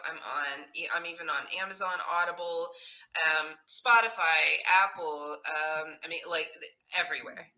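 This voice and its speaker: Bright-voiced Texan woman, animated chat. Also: deep voice, moderate pace, low-quality recording. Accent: light Texas. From Texas. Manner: animated, laughs often, theatrical. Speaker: guest, true crime podcast host. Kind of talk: casual interview chat.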